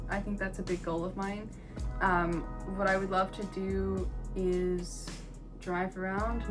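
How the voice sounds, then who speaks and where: A young woman, a co-host and art history student, chatting casually with fillers and giggles. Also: mid-range voice. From United States. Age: young adult. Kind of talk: casual chat. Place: indoors, a room with no light on.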